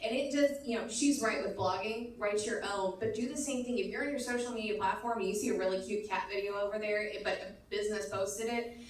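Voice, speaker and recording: A woman's voice: mid-range voice, fairly quick. A woman on a business panel giving advice in an emphatic, chatty way, checking in with the audience often. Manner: emphatic, chatty, keeps saying okay. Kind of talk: panel discussion answer. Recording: echoey hall, mic far off.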